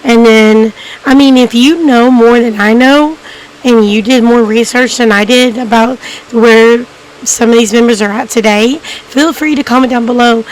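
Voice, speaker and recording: A woman with a hoarse, cracking voice, hosting a documentary-style video and talking casually to viewers, rambling with many ums and restarts. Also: a little high, steady tone, moderate pace, a little hiss or hum, rough recording. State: hoarse, losing her voice. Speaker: YouTube documentary host. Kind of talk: casual unscripted narration to viewers.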